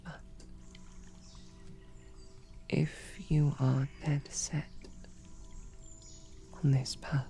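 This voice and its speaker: A woman playing a snarky, devoted female knight, stammering and flustered, often dropping to soft whispers. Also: deep voice, flat delivery, extremely slow, pauses often, faint music behind. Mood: tender. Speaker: audio drama performer. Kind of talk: romantic audio roleplay.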